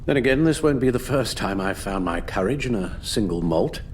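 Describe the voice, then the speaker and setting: Man acting as elderly British butler, sorrowful, eloquent, formal, widely swinging intonation, game drama, faint rumble underneath. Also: lively intonation, moderate pace, darkish tone, natural voice. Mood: resigned sorrow. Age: older. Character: weary, grieving, principled elderly British butler.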